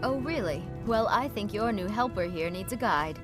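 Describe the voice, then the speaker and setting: A woman's voice: medium pitch, lively intonation, moderate pace, over music, close to an everyday voice. A woman playing a spirited, teasing young woman in a video game, pert and conversational. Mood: defiant. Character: spirited young shopkeeper's sister, headstrong and teasing. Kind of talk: video game character acting.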